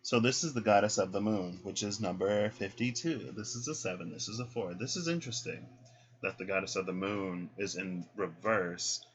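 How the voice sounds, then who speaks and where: Deep-voiced man giving a tarot reading. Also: normal speed. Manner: relaxed, explaining, frequent "right?" asides. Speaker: tarot card reader. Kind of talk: casual spiritual card reading. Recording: dull lo-fi sound.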